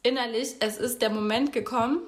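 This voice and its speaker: A woman's voice: on the high side, steady tone, fairly quick. A young woman from Germany speaking spontaneously and personally as a talk participant. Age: young adult.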